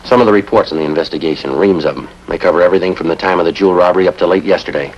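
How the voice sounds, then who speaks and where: An American actor playing a terse, relentless police detective in a 1950s television crime drama, reciting facts in a clipped, deadpan way. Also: male voice, deep voice, racing. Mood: firm, patient. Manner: deadpan, matter-of-fact, clipped. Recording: dull vintage 1950s TV soundtrack.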